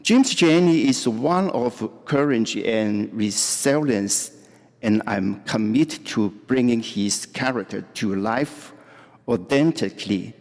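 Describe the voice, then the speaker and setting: Middle-aged man, Cantonese accent, reads a prepared speech, very slow and deliberate, echoing hall. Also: mid-range voice, darkish tone. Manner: careful, halting, deliberate English. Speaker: opera performer giving a speech. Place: museum event hall, indoors. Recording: reverberant hall.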